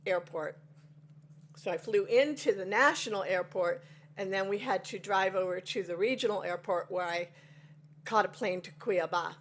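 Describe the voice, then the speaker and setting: A woman in her sixties, chatting to viewers over coffee and rambling through a personal story with folksy exclamations. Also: medium pitch. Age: 60s. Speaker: devotional vlogger.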